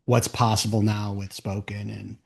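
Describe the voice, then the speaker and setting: A man's voice: lowish voice, little pitch movement, moderate pace, creaky. A man hosting a casual interview show, talking informally and rephrasing his questions as he goes.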